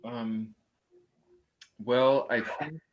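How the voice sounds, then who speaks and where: A man speaks casually in a post-show discussion as one of the actors. His voice is of average pitch, and he gropes for words in halting phrases. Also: muffled recording.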